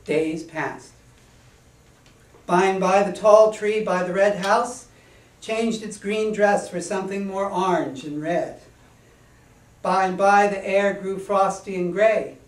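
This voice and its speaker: A man reading a children's story from a stage in a deliberate, whimsical, rhythmic way. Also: sits high. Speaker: author reading own story. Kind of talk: children's storytelling to a live audience. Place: small indoor stage before an audience. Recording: echoey room, distant microphone.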